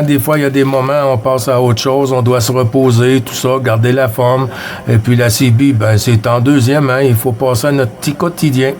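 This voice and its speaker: A man from Quebec with a Québécois French accent talks on the CB radio. His voice sits at a middle pitch. He is friendly and easygoing, and he reads out call signs and signal reports between bits of casual chat. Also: a little mellow. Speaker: CB radio operator.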